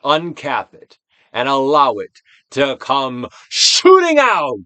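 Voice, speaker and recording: Man, theatrical self-help narrator, animated intonation, deliberate pauses, dry close sound. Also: medium pitch, clear, resonant tone, no echo. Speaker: audiobook reader and actor. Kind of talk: dramatic reading of a self-help book as guided exercise.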